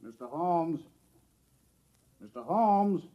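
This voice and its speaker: An actor playing a dutiful, deferential police chief, earnest and businesslike, in a 1950s radio drama. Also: male voice, a little high, animated, slow, muffled recording. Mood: earnest, urgent. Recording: noisy vintage radio recording.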